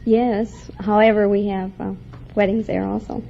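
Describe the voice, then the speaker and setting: A woman's voice: mid-range voice, little pitch movement, normal speed, vocal fry. Polite American woman on old radio. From Montana, United States. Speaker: quiz show contestant, wedding director. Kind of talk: answering a host's questions. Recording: dull, faintly noisy vintage radio recording.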